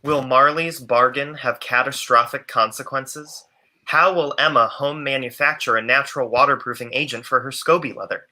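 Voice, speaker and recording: A man's voice: mid-range voice, bright, forceful tone. A young man narrating a serial drama from a script like a theatrical announcer. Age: young adult. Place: small room indoors. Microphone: earbuds on a video call.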